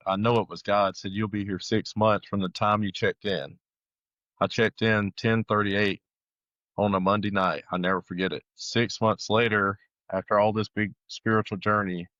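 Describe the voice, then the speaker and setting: Male interview guest, deep register, conversational and halting storyteller who quotes dialogue, very dry sound. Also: fairly bright tone, dry recording, muffled recording. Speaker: guest telling his life story. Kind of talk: casual personal testimony.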